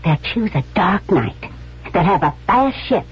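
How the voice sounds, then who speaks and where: A woman's voice: medium pitch, big pitch swings, measured pace, breathy edge, soft background music, exaggerated voice. Bold spy woman, muffled radio sound. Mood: determined, scheming. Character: bold, cunning female actress turned Civil War spy. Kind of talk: radio drama acting. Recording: muffled vintage radio recording.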